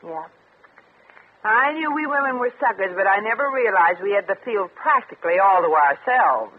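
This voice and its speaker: An actress playing a brash, wisecracking, streetwise fortune teller in 1950s radio comedy, with sardonic, slangy delivery. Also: female voice, mid-range voice, animated, moderate pace, lightly acted. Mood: dry, skeptical. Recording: tinny, hissy early-1950s radio broadcast.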